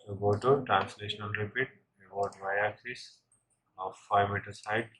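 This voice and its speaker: A man giving a step-by-step software tutorial in a halting way, often checking in with the listener. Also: lowish voice, steady tone. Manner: halting, with frequent check-in tags. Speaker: software instructor. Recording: noisy, with keyboard typing and clicks.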